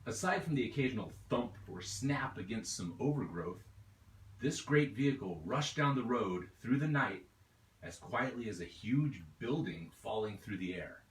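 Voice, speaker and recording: A man reading his own novel aloud in steady, measured audiobook narration. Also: lowish voice, echoey room, rough recording.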